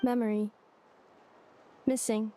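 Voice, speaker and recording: A woman's voice: a little high, little pitch movement, takes it slowly, warm tone, slight background noise. A woman voicing a polite humanoid maid robot in a video game, speaking in formal, clipped robotic phrases. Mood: mechanical.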